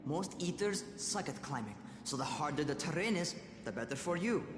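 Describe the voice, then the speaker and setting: An actor voicing a cocky young survivor who coaches in a video game, brash and slangy. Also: male voice, fairly high pitch, lively intonation, moderate pace, far from the mic, background noise, natural voice. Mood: cocky, coaching. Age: young adult. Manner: brash, slangy, barking instructions. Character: cocky young male survivor parkour trainer, teasing. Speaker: parkour trainer character in a video game. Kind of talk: video game dialogue acting.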